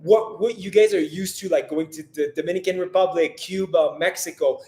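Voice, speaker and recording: A man's voice: high voice, a little fast, dark tone, roomy sound. A young man from French-speaking Canada, whose first language is French, chatting casually with a French Canadian accent on a live travel hangout. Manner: chatty, lots of "like" and restarts. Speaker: travel vlogger, co-host.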